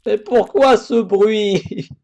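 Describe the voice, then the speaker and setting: A man's voice: sits high, very slow, creaky, a little mellow. A man hosting a let's play, chatting casually over a horror visual novel with crude jokes and frequent restarts. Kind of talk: casual game commentary.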